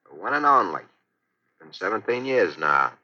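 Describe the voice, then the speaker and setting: A man's voice: fairly low pitch, big pitch swings, moderate pace, pushed and bright, lo-fi sound, exaggerated voice. An actor playing a cocky, greedy American racketeer with slangy tough-guy talk in a 1940s radio crime drama. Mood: smug, scheming. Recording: vintage 1940s radio broadcast.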